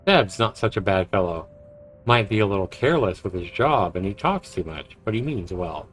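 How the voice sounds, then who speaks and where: An actor playing a brooding, guilt-ridden but dryly charming man in a visual novel, measured and reflective. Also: deep voice, lively intonation, fairly bright tone. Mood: pensive. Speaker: narrating protagonist. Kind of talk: visual novel voice acting, introspective narration and dialogue. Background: music.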